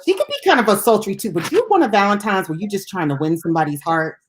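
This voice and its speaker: A woman's voice: mid-range voice, expressive, quick pace, slight background noise. A middle-aged woman co-hosting a casual music chat, talking in a playful, informal way that wanders into asides. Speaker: radio show host.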